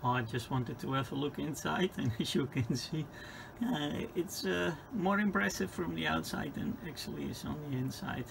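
A man's voice: a little high, normal speed, vocal fry. A man giving an informal, hesitant, unscripted hands-on demonstration at an indoor workbench. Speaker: host demonstrating radio equipment. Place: indoor electronics lab bench. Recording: noticeable background noise in workshop.

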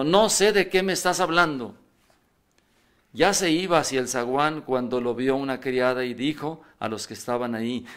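An older priest reads scripture at Mass, speaking at a middle pitch into a stand microphone in a church. He reads steadily, then raises his pitch and volume whenever a character speaks. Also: fairly bright tone, smooth. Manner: reads aloud, raising pitch and volume for quoted voices. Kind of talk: reading the Passion at Mass. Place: church, indoors at a lectern. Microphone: stand mic in front.

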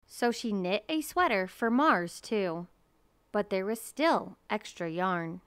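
Female picture-book narrator: unhurried, deliberate, smooth clear voice, close dry sound. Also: mid-range voice, lively intonation, no echo. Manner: measured, deliberate storytelling. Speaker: storybook reader. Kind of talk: children's picture book read-aloud.

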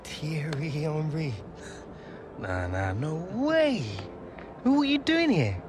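A man playing a friendly, excitable young footballer in a video game, with a British accent and informal, slangy speech. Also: a little high, slow pace, background noise. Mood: amazed. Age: young adult. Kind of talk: naturalistic video game dialogue.

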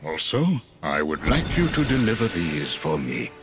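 An actor playing a grave, commanding old wizard master in a video game, speaking formally and with measured solemnity. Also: male voice, deep voice, big pitch swings, noisy background. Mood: grave, instructive. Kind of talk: video game cutscene voice acting.

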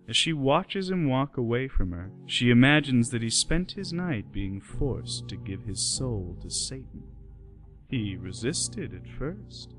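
A man narrating a scripted radio play in the present tense. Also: medium pitch.

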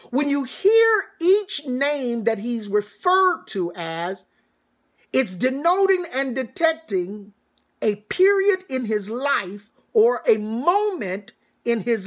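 A middle-aged man giving a sermon-like commencement address as a church elder, emphatic and deliberate, with repeated phrases and dramatic pauses. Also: very high-pitched, a bit bright. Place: small home study, indoors.

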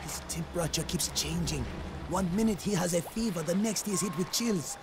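An actor playing a guarded, blunt young local gatekeeper in a video game who drives a bargain. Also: male voice, high-pitched, fairly quick, noisy background. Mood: guarded, worried. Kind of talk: video game cutscene acting.

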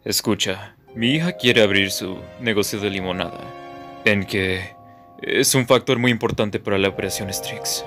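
A woman voicing a composed, awkward spy father with a measured, dry delivery and a Latin American Spanish accent, in an anime fan dub. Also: very deep voice, music in the background. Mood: businesslike.

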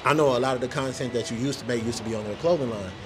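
A man's voice: mid-range voice, racing, noisy background. A man hosting a vlog, chatting casually in a laid-back, joking, slangy way. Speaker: vlog host. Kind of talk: casual chat.

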